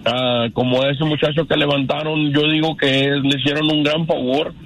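A man in his fifties with a Mexican accent, giving personal testimony as a radio caller in a halting, earnest, repetitive way. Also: fairly high pitch, little pitch movement, fairly bright tone, a little hiss or hum, rough recording. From Canada. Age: 50s.

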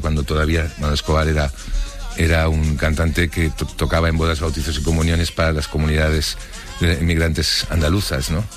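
A middle-aged man with an accent from Spain, recalling his life in a casual, colloquial way, halting and reflective as he goes. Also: very deep voice. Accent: Spanish from Spain. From Barcelona, Spain. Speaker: interviewee telling his life story. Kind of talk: casual spoken recollection.

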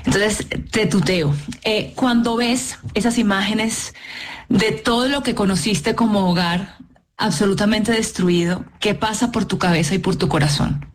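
A woman's voice: medium pitch, a little slow, a little hiss or hum. A Venezuelan-woman, conducting a conversational interview. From Colombia and Venezuela.

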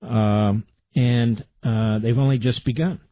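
A man's voice: mid-range voice, steady tone, slow pace, dry recording. A man hosting a call-in radio show, taking callers and giving emphatic opinions. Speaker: radio talk show host. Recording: muffled broadcast audio.

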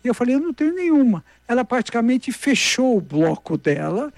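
An older Brazilian man, a marathon runner, telling animated anecdotes in a casual chat, often restarting his sentences. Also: very high-pitched, a little fast, creaky, no room sound. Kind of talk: casual storytelling.

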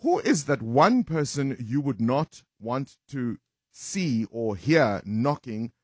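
A man's voice: mid-range voice, big pitch swings, takes it slowly, breathy edge, no room sound, studio quality. A man with a South African accent, hosting a live radio breakfast show with casual banter.

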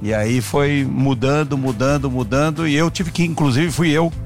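A man's voice: medium pitch, fairly even intonation, normal speed, faint music behind. A Brazilian man, a panelist on a sports show, chatting casually. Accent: Brazilian Portuguese. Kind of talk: casual chat.

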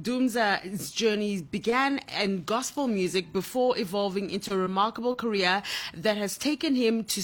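A woman with a Ugandan accent hosting a radio interview show, bubbly and upbeat. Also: mid-range voice. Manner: bubbly, upbeat, quick to laugh. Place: studio in Ghana.

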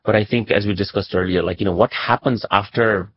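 A man's voice: on the low side, steady tone, brisk, breathy edge, dry recording, lo-fi sound. A man from Nepal speaking as a journalist in an interview, explaining things reflectively and conversationally, often checking in with "right?" and "you know".

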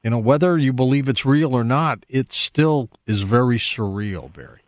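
American guy radio host, casual, mid-pitched voice, dry slightly dull broadcast sound. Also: expressive, normal speed, dry recording. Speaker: radio call-in show host.

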